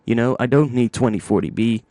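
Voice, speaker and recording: A man narrating a music software tutorial step by step, informally thinking aloud into a close microphone. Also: medium pitch, steady tone, brisk, no room sound.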